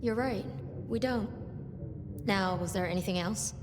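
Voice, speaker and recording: A woman's voice: mid-range voice, unhurried, big-room sound, background noise, natural voice. An actress playing a curt, dismissive woman gatekeeper in a video game, clipped and dry in delivery.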